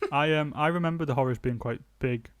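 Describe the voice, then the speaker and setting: A man co-hosting a music podcast, sharing his opinions in relaxed, everyday conversation about releases. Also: fairly high pitch, moderate pace, no echo. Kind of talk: casual music review chat.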